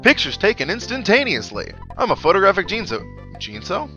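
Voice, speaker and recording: Man putting on a loud, high-pitched excitable photographer. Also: a little fast, lo-fi sound, faint music behind, heavily acted. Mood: excited, boastful. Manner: loud, exclamatory showman delivery. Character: excitable, boastful photographer showing off. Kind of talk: video game character voice-over.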